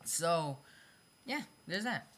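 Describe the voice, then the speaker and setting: Bright-voiced, stumbling card game explainer. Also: mid-range voice, big pitch swings, slow pace, a little hiss or hum. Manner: repetitive, self-correcting, stumbles over phrasing; apologetic about own mistakes. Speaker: hobby card game video creator. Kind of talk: casual off-the-cuff explainer of custom card designs.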